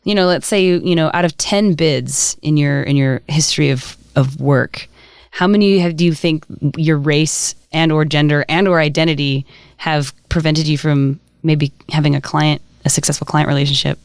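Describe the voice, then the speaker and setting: Podcast host, smooth and resonant voice, wry and articulate; dry close-mic sound. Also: medium pitch, fairly even intonation, moderate pace, dry recording. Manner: articulate and wry, with laughing asides. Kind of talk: casual podcast interview.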